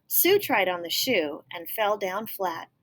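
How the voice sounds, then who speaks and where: A woman's voice: medium pitch, lively intonation, takes it slowly, fairly bright tone. A woman reading a children's picture book aloud expressively, with explanatory asides to young listeners. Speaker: storybook reader.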